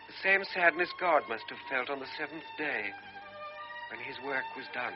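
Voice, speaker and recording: A man's voice: medium pitch, animated, normal speed, close to an everyday voice. An actor playing a young lieutenant who narrates his own story in an earnest, hesitant, introspective way. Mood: reflective. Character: young lieutenant, torn and guilty. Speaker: narrator and lead character. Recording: dull lo-fi radio broadcast with music.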